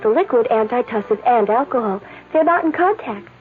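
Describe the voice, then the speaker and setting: An actress playing a matter-of-fact, insistent wife with a cold in a radio commercial. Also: female voice, a little high, animated, brisk, natural voice. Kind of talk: 1970s radio commercial acting. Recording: tinny old radio, faint music.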